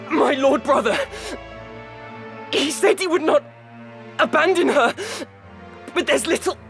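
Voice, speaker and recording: An actor playing an earnest, anguished young noble knight in a video game, pleading in halting, formal speech. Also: male voice, extremely high pitch. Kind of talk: dramatic video game acting. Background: music.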